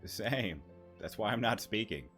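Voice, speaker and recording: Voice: medium pitch, lively intonation, a little slow, a bit bright, faint music behind. A game streamer giving casual, informal commentary, reacting to a story as it is played.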